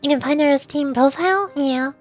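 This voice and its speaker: A young woman talks casually to her stream in a notably high voice, wandering between thoughts and laughing as she goes. She sounds close to a desk mic. Quiet game music plays behind her. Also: moderate pace. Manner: rambling and chatty, with frequent laughs and restarts.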